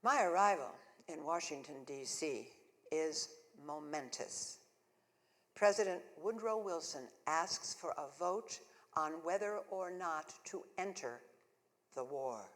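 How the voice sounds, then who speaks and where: A woman's voice: low voice, expressive, slow. An actress playing a spirited woman suffragist in her thirties, telling her own story in the first person during a live stage monologue with earnest, wry humor. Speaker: solo stage performer. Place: church hall, live performance. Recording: long church reverb.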